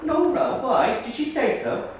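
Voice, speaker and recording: An actor voices a reserved Irish gentleman, hedging and defensive, his voice rising and dipping a lot. He sounds distant in a very echoey hall. A stage play. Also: very high voice, expressive, unhurried, vocal fry, slight background noise, full character voice. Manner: hesitant, defensive replies. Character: reserved middle-class Irish man, defensive. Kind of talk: stage acting. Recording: echoey hall, mic far off.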